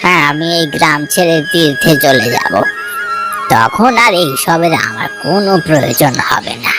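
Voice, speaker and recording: A performer voicing an elderly bereaved man in a cartoon story, gentle, generous and fatherly, with a solemn, kindly delivery. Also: medium pitch, expressive, normal speed, music in the background, lightly acted. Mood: kindly, giving.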